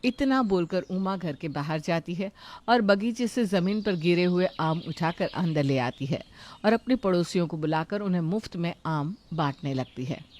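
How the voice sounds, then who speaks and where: A woman's voice: lowish voice, lively intonation, normal speed, a little mellow. A woman reading scripted narration for a moral story, in the manner of a storyteller.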